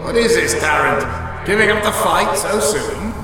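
An actor playing a sneering villain heard as a haunting voice through heavy reverb in a science fiction audio drama. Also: male voice, high-pitched, lively intonation, measured pace, over music. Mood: mocking. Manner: sneering, mocking. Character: taunting male villain, a haunting imagined voice. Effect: heavy echoing reverb. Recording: distant, heavily echoing reverberant effect.

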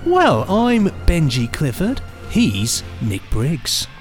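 A man's voice: fairly high pitch, huge pitch swings, slow, soft background music. A British man co-hosting a podcast in casual comic banter, chirpy and playfully theatrical. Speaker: podcast co-host.

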